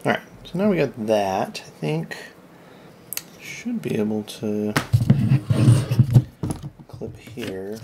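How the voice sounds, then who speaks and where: Creaky-voiced repair host muttering over loud noise. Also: medium pitch, very animated, slow, long pauses. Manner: rambling, muttering, thinking aloud. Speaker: video host repairing electronics. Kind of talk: casual off-the-cuff repair commentary. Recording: noisy recording with clicks.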